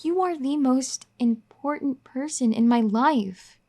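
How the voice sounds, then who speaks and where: A woman voicing a firm but caring young wife who scolds and then gently pleads in an audio roleplay. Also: high-pitched, slow pace, exaggerated voice. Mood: tender, pleading. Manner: bossy teasing scolding that turns gentle and pleading. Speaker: ASMR roleplay performer. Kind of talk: audio roleplay addressed to the listener.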